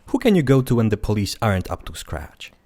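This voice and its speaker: A man's voice: on the low side, wildly swooping pitch, brisk, dark tone. A man playing a dry, sarcastic spirit guide to the dead in an audio drama. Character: wry male spirit guide to the afterlife.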